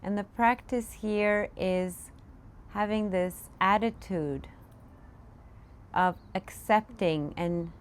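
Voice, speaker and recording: A woman meditation teacher leading a guided meditation, giving gentle, unscripted instructions with pauses. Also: medium pitch. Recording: clear background noise, room ambience. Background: room ambience, children in the background.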